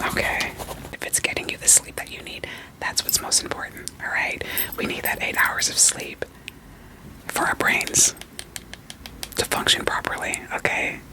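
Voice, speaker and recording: Soft ASMR whisper, playful therapist roleplay. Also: normal speed, pauses often, poor audio. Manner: soothing, reassuring, playful, with gentle check-in questions. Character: doting, quirky therapist evaluating a vampire client.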